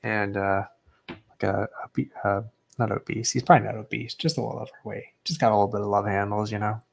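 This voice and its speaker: A man's voice: quick pace, vocal fry, breathy edge. A young man casually commenting as he unboxes graded trading cards, understated and matter-of-fact. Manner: low-key and understated, self-described as not excitable.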